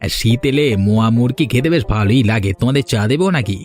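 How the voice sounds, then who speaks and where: A man's voice: mid-range voice, quick pace, a little mellow, faint music behind, close to an everyday voice. A man playing an amiable, obliging younger village tea seller in a cartoon story. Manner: obliging, conversational.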